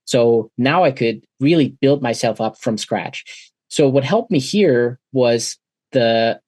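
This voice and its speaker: Forties guest, German native speaker, middle pitch, dry close sound, thinks out loud with lots of uhs. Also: male voice, no echo. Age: 40s. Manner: thoughtful, many uh fillers and restarts. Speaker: podcast guest. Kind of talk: reflective interview conversation.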